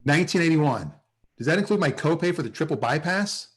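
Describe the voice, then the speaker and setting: A lively, swooping male voice, fairly high, as a hapless sitcom salesman played by an actor. He is wry and a bit self-important, with hesitant, wheedling turns. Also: a little fast, natural voice. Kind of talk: sitcom table read. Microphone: video call.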